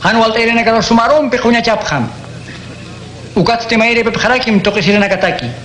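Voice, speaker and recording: A calm preacher teaching. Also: male voice, very high-pitched, fairly quick. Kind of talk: radio drama acting. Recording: echoey room, faint noise.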